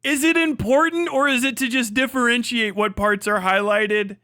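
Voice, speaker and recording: A man's voice: very high voice, moderate pace, bright, forceful tone, no room sound, clean studio sound. A man hosting a casual livestream, reacting with exasperated, mocking, swear-heavy commentary. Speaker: livestream host.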